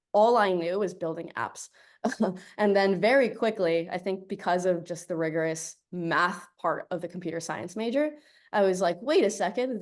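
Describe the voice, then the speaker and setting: Upbeat young woman on a video call. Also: lowish voice. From Colombia. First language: Spanish. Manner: upbeat, chatty, laughs often. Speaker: guest speaker. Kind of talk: casual presentation over video call.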